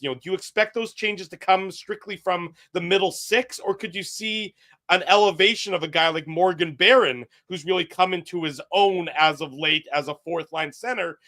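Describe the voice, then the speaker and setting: A man's voice: a little high, pushed and bright. A man co-hosting a hockey talk show, asking long, loose questions packed with casual fillers. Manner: loose, chatty, lots of "you know" fillers. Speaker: co-host.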